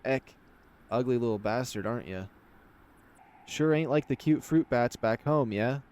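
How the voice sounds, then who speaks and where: A man's voice: mid-range voice, a little slow, a little mellow, lightly acted. Casting: man playing a teasing lioness rogue in a fantasy game, breezy and full of swagger. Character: cocky, teasing lioness adventurer.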